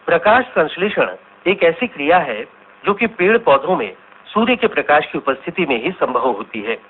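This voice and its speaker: Science reporter in a radio play: fairly high voice, careful explanatory delivery, tinny band. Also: male voice, very animated, normal speed, slight background noise. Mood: instructive. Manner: formal, didactic.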